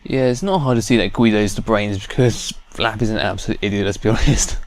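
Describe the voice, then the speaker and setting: A man's voice: lowish voice, fairly quick. A man giving casual commentary as he plays through a game. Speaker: gaming video host.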